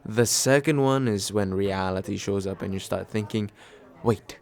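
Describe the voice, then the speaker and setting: A man playing a nervous, kind guy on a second date, speaking haltingly and reassuringly in an ASMR comfort roleplay. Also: on the low side, natural voice. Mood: tender, unsure. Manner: hesitant, soft-hearted, self-deprecating, halting with small pauses. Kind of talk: ASMR comfort roleplay, acted as casual chat.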